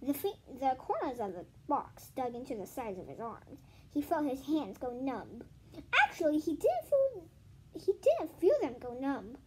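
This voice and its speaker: High, very lively girlish female storyteller. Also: measured pace, low-quality recording. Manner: expressive, informal, self-correcting. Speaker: video host. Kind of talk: amateur children's book read-aloud with casual asides.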